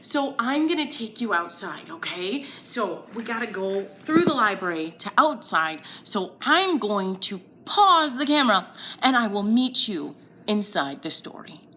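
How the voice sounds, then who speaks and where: A woman's voice: mid-range voice, sing-song, measured pace, sharp tone, slight background noise. A woman telling a story to young children in a sing-song way, with exaggerated emphasis, speaking to them directly.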